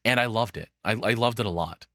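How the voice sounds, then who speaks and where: A man's voice: lowish voice, fairly quick, bright, forceful tone, dry recording, clean studio sound. A man in his thirties giving casual, rambling reaction commentary as the host, swearing freely. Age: 30s.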